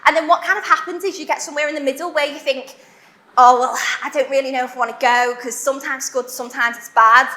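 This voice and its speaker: Woman, Liverpool accent, high pitch, chatty, self-deprecating, fast, echoey venue. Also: fairly even intonation, sharp tone. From Liverpool, England. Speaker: conference speaker. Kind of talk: conference talk. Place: large warehouse event hall. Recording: echoey event hall.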